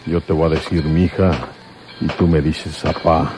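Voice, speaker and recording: Deep-voiced protective fellow, noisy recording. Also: male voice, little pitch movement, unhurried. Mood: calm, kindly. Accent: Mexican. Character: protective man disguised as an old father. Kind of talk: Mexican radio serial acting. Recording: noisy old radio drama recording.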